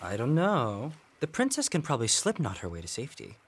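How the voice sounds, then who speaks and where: An actor playing a sarcastic, gruff young male sailor in a period audio drama, teasing and begrudging. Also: mid-range voice, wildly swooping pitch, a little fast, slight background noise. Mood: sardonic, mocking.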